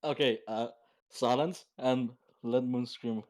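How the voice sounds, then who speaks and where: Slow-talking, giggly man. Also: medium pitch, fairly even intonation, darkish tone. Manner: giggly, laughs often. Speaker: podcast guest. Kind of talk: casual chat.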